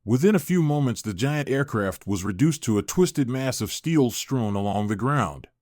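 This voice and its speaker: A man's voice: mid-range voice, animated, normal speed, a little mellow, no room sound. Man narrating news reports, dry sound. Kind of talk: scripted narration, reading old newspaper reports.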